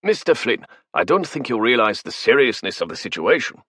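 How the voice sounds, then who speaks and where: A man voicing a frightened male academic, pleading in a shrill, near-screaming voice. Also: very animated, brisk, a bit bright, breathy edge, dry recording, studio quality.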